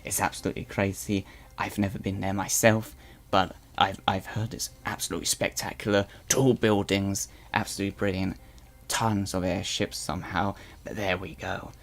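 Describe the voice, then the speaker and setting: A man's voice: sits low, level tone, normal speed. A man voicing a boastful male Slig guard turned propaganda newscaster, with a weird put-on accent and a rambling, stammering way of talking. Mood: blustery. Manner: blustery, rambling with stammered restarts. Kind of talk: in-character live stream chat roleplay.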